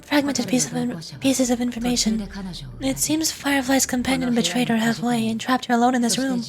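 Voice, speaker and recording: Female game streamer, high register, dramatic read-alouds and offhand commentary over game music. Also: steady tone, brisk. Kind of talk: live game playthrough, reading dialogue aloud and reacting. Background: video game music.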